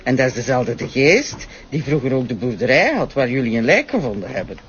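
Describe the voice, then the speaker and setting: An actress playing a brash, combative brothel madam with a Flemish accent in a radio drama. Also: female voice, a bass voice, very animated, fairly quick, muffled recording, a crowd in the background. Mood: scheming. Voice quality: loud, combative, coarse. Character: brash middle-aged woman, brothel madam.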